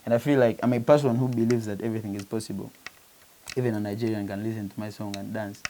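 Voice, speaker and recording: A man from Uganda with a gravelly, mellow voice, talking earnestly in an interview. He often restarts his phrases and leans on "you know". A faint hiss runs under the recording. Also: fairly low pitch, fairly even intonation, fast. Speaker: musician, interview guest. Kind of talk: casual interview talk.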